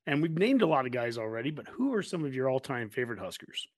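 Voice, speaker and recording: Male interviewer, medium pitch, relaxed conversational sports-show delivery. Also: fast talker. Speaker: podcast host, interviewer. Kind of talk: casual sports chat.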